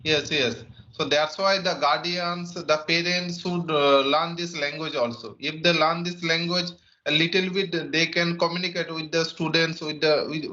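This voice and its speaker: Indian-accented man, Santali native, hesitant practice talk, somewhat high, muffled audio. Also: pushed and bright. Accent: Indian English. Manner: hesitant, many fillers and repeated words. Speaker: English practice partner. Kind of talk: casual English conversation practice.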